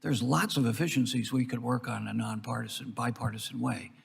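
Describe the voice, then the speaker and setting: A very raspy, creaky-voiced American man questions witnesses as a congressman. He speaks in halting, looping phrases. The sound rings with the long reverb of a hearing room. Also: mid-range voice, fairly even intonation. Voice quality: husky, gravelly. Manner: halting, circling, with broken-off phrases. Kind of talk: rambling committee questioning. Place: large indoor committee hearing room.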